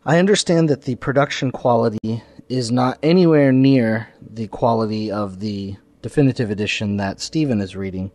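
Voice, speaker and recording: A man's voice: fairly low pitch, a little mellow, no room sound. A man hosting a podcast, giving spoken introductions and announcements. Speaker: podcast host.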